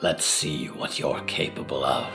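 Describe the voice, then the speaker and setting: An actor playing a gentle, cautious mentor in an audio drama, speaking in a measured, halting, courteous way. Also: male voice, extremely low pitch, fairly even intonation, creaky, over music, full character voice. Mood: thoughtful, grave. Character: gentle, cautious confined mentor with odd scholarly musings. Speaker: teacher to a student.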